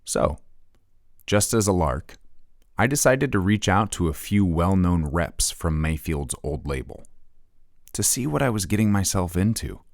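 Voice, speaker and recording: An actor playing an earnest male rock podcast host, narrating in a scripted documentary style with dramatic, deliberate phrasing. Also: low voice, sing-song, vocal fry, exaggerated voice.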